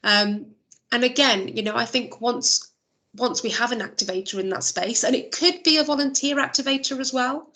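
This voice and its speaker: A woman's voice: a little high, moderate pace, bright, forceful tone. A woman chairing an online meeting, thinking aloud with fillers and restarts, her voice a little worn. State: losing her voice. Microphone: video call.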